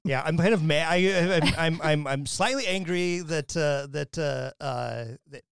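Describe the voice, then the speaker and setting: A man from Wyoming hosting an academic podcast, talking in a loose, rambling way with many restarts and quick laughs. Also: a little high, vocal fry, fairly bright tone, smooth, no room sound, studio quality. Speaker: podcast host. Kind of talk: casual academic interview.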